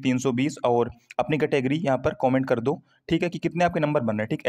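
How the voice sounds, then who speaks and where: Fast-talking man explaining informally. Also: fairly high pitch, a little mellow. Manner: conversational, peppered with repeated check-in tags and English terms. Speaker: exam prep YouTuber. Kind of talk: informal explainer addressed to students.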